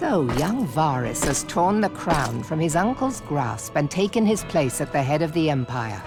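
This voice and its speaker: Very low-voiced, serious fantasy councillor. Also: female voice, sing-song, moderate pace, over music. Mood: serious, probing. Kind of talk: fantasy game cutscene acting.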